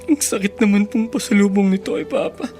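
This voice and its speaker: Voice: mid-range voice, fairly quick, music in the background, natural voice. A performer playing an ashamed, hurt grandson in a radio drama, with sorrowful, plaintive delivery. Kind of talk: Filipino radio drama acting.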